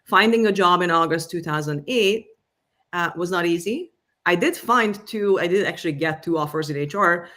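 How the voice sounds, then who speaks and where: A woman's voice: on the low side, normal speed, a bit bright. A woman with a Hungarian accent, a guest in an interview, talking through her career in a conversational way with many fillers. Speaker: guest, revenue enablement executive.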